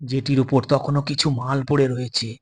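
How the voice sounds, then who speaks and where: A man's voice: mid-range voice, little pitch movement, moderate pace, slightly rough. A man reading a scripted suspense story as its narrator.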